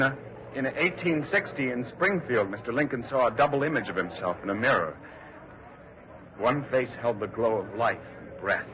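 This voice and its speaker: A man acting a grief-shaken presidential secretary in a vintage radio play. He has a mid-pitched voice and a solemn, halting delivery, on a muffled, faintly noisy recording. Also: close to an everyday voice. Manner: halting, solemn, repeats words.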